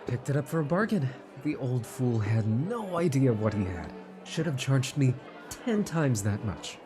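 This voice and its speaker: A man's voice: mid-range voice, sing-song, unhurried. A man playing a smug, boastful and dismissive scholar in a fantasy audio roleplay. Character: smug, haughty male scholar at a fantasy gala. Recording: music playing behind the voice.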